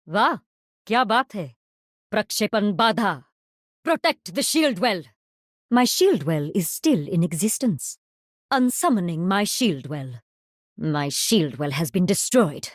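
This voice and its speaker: A commanding mystic summoner with an Indian accent and a fairly low voice. She is composed, formal and imperious, like a dragon priestess in a video game, recorded very dry and close. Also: big pitch swings, a little fast, dry recording.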